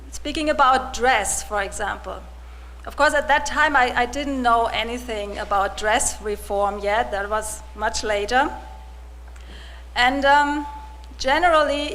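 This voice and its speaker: Soft-spoken German-raised woman, hesitant. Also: a little high, slight background noise. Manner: soft-spoken, hesitant, many restarts and ums. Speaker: church volunteer giving testimony. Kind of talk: personal testimony to a congregation.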